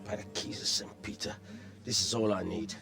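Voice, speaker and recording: Deep, gruff medieval bailiff. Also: male voice, fairly even intonation, normal speed. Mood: exasperated, defensive. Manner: gruff, blunt. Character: gruff, weary male village bailiff. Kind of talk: medieval video game cutscene acting.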